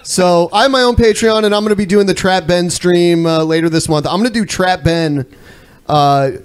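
Higher-voiced man hosting a casual stream. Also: moderate pace, smooth. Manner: blunt, profane. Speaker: podcast host. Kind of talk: casual live-stream chat.

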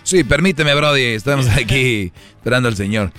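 A man's voice: mid-range voice, moderate pace, music in the background. A man hosting a radio show with casual, opinionated, slangy comedic talk.

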